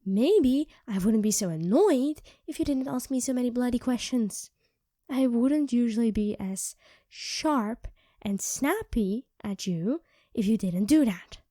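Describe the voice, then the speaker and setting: A woman voicing an irritable young female vampire who craves blood, speaking in a sharp, sarcastic way and often dropping to a whisper. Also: fairly high pitch, no room sound, studio quality. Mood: snappish. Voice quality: drops into soft whispers. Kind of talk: ASMR roleplay.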